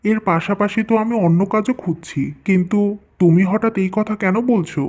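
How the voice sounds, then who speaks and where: A man's voice: high-pitched, normal speed, darkish tone, close to an everyday voice. Mild-mannered young husband, acted by a man. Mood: puzzled. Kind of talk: Bengali cartoon story dubbing.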